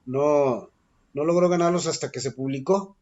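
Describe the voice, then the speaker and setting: A man, a guest poet answering interview questions thoughtfully, pausing often with fillers and restarting his sentences. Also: a little high, darkish tone. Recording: dull lo-fi recording.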